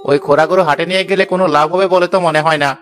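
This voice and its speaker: A man's voice: a little high, steady tone, very fast, over music, close to an everyday voice. A man playing a mocking, scornful village neighbour in a cartoon, with a sneering, taunting manner. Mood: dismissive. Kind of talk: cartoon fairy tale dialogue.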